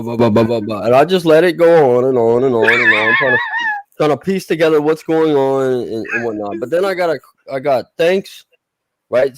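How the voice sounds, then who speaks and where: A man's voice: mid-range voice, dry recording. A man hosting a casual stream chat, teasing a co-host and telling stories in a joking manner.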